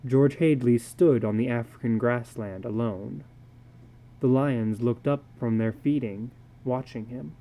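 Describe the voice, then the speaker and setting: A man giving a dramatic reading of a short story, deliberate and brooding, with pauses between phrases. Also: mid-range voice, dark tone, rough recording. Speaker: narrator.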